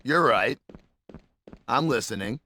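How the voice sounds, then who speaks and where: An actor playing a wary, sardonic young pro wrestler in a video game story scene, with clipped replies. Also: male voice, medium pitch, animated, slow, bright, forceful tone, slight background noise.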